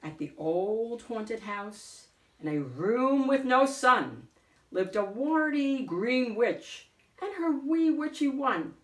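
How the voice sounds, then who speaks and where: A woman reading a picture book aloud as host, in a deliberate, theatrical storytelling way. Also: on the high side, lively intonation, far from the mic. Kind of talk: expressive picture-book narration. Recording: echoey room, off the mic.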